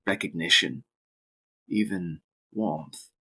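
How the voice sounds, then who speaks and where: A man with a deep, husky voice giving a dramatic scripted narration of a gothic story. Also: slow pace, sharp tone.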